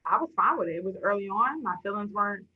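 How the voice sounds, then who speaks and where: A woman's voice: medium pitch, animated, fast, slightly rough. A young adult woman on thin phone-like audio, chatting casually and candidly as a podcast co-host. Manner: candid, colloquial. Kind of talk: casual chat.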